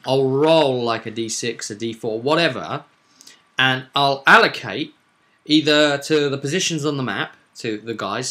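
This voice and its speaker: A man's voice: mid-range voice, sharp tone. A man hosting a vidcast as game master, chatting casually and animatedly to viewers as he rambles through a roleplay session recap.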